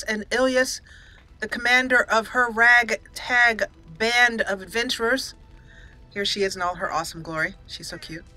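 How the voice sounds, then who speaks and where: A woman's voice: mid-range voice, sharp tone, rough recording. A woman giving casual, chatty, giggly commentary as host of a roleplay video game playthrough. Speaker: YouTube host doing a roleplay let's play. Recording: game music behind the voice. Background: video game music.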